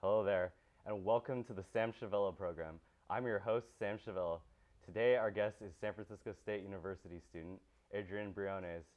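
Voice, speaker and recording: A man's voice: fairly low pitch, fairly even intonation, a little fast, darkish tone. A young man presenting from a small TV studio, delivering scripted lines in an earnest, rehearsed way. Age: young adult. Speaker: student presenter.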